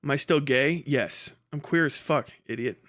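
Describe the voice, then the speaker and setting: A man's voice: mid-range voice, normal speed, fairly bright tone. A man hosting a casual livestream, rambling bluntly with lots of profanity and muttered asides to himself and chat. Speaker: livestream host.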